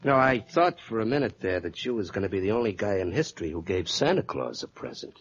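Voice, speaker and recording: Menacing crime boss, old muffled radio. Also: male voice, fairly low pitch, very animated, quick pace, exaggerated voice. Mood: gloating menace. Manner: threatening, sarcastic, slangy ungrammatical talk. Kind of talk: 1940s radio crime drama acting. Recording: dull old radio recording, clear noise.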